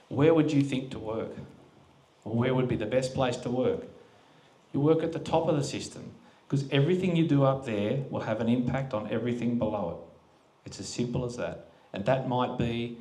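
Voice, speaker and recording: A man's voice: mid-range voice, darkish tone. Plain-spoken man addressing a crowd. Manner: plain-spoken, asks the audience questions. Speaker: speaker at a farming forum. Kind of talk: casual public talk to an audience. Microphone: handheld microphone that cut out.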